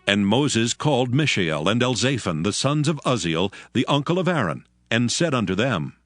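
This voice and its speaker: A man narrating scripture from a script in a solemn, measured reading. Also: medium pitch, big pitch swings, a bit bright, no echo. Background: background music.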